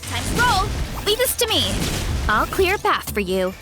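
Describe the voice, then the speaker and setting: Casting: sweet, brave young game heroine; high, lively pitch, earnest delivery. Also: female voice, measured pace, over music, exaggerated voice. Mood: eager, determined. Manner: earnest, reasoning aloud. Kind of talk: video game voice acting.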